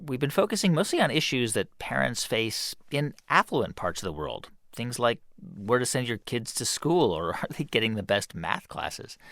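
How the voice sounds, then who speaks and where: A man's voice: mid-range voice, gravelly, fairly bright tone, no echo, studio quality. A man hosting a radio show, narrating and putting questions in an interview style. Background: music bed.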